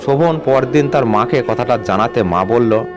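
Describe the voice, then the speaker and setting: A man narrating a scripted ghost story in a measured, suspenseful way. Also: mid-range voice. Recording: music bed under the voice. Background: background music.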